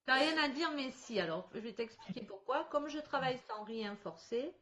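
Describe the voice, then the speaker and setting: A woman working as a medium in a spirit contact session over a video call. She talks in halting restarts and laughs often. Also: high-pitched, animated, noisy background. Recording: slightly dull video call audio.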